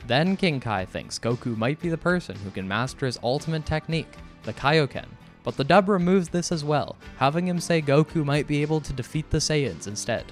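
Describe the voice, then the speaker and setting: A man's voice: a little high, expressive, a little fast, hardly any pauses. Man narrating a scripted video over music. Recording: music behind the voice. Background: background music.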